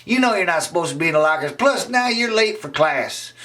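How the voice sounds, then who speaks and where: A man's voice: high voice, animated, brisk, bright, forceful tone, pitched above the performer's own voice. A man doing a stern scolding schoolteacher woman. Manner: scolding, bossy.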